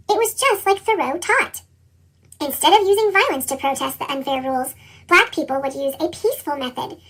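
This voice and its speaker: A reader with a squeaky, pitched-up chipmunk effect, narrating a children's picture book and acting out the dialogue expressively. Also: exaggerated voice. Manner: expressive storytelling, acts out dialogue.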